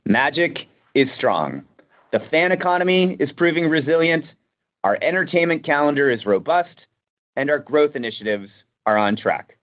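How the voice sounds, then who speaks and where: A man who is a company executive reading a scripted earnings presentation in a formal, measured way. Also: a little high, animated. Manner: formal, measured business delivery. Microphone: conference call line. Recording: muffled conference call audio.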